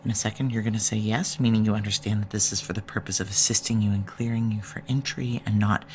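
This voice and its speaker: An actress playing a gruff, weary ex-military female security chief in an audio drama, speaking in a clipped, dry, commanding way. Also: very deep voice, fairly even intonation, quick pace, a little mellow, slight background noise. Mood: matter-of-fact. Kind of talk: naturalistic audio drama acting with noir narration.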